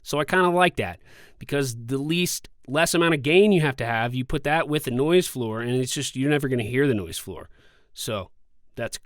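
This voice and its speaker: Smooth-voiced man in a dry recording. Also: medium pitch, moderate pace, no echo. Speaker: microphone reviewer and voice-over video host. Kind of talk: casual talking microphone review. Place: quiet indoor recording space. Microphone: close studio condenser microphone, about six inches away.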